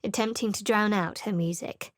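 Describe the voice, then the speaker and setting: A woman's voice: mid-range voice, moderate pace, no echo, close to an everyday voice. An actress playing a guarded, sardonic young woman cleric in a studio game, in a dry, cutting manner, also narrating. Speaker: game character.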